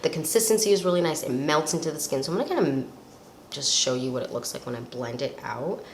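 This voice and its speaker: A woman's voice: low-pitched, lively intonation, fast talker. A young woman with a slightly nasal voice from a cold, chatting casually and rambling with lots of fillers while reviewing products. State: recovering from a cold. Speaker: beauty vlogger. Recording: echoey room with faint noise.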